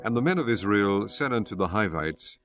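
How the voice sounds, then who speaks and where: Low-pitched man narrating scripture solemnly. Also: lively intonation, fairly bright tone, soft background music. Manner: measured, formal phrasing. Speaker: audiobook narrator.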